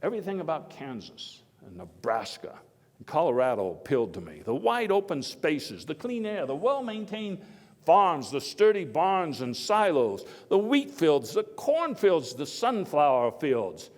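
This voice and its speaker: A man's voice: a little high, sing-song. Man playing a folksy old-time statesman in an echoey hall. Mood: stirring, proud. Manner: folksy, halting, with oratorical emphasis and wry asides. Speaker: historical reenactor. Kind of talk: live first-person historical monologue, speech to an audience. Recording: echoey hall with long reverb.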